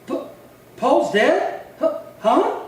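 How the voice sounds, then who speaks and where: Radio western villain male actor: menacing, cocky cowboy, high voice, strong hall echo, faint hiss. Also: very animated, extremely slow, heavily acted. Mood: shocked, bitter. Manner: swaggering, threatening. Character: bitter, menacing male drifter cowboy, jilted brother. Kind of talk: old-time western radio acting. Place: little theatre stage, distant mic. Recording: very echoey hall, far from mic, faint noise.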